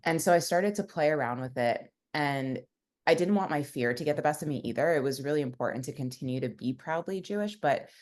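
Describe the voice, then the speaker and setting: A woman's voice: deep voice, lively intonation, brisk, a little mellow. A young woman, a founder, telling her story in a casual interview, rambling with many fillers. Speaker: founder and guest.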